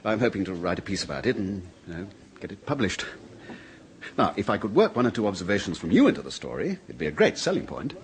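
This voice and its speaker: A jittery broke writer, low voice, stumbles over words, old radio play. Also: male voice, big pitch swings, quick pace. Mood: nervous eager. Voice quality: stammering, hesitant. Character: nervous hard-up male freelance writer. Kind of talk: 1970s radio drama acting. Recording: old radio broadcast recording.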